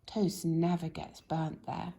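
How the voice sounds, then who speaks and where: A woman reading a children's bedtime story expressively, in a gentle, drawn-out way that drops into hushed tones. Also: fairly low pitch, completely flat delivery, vocal fry. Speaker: storybook reader.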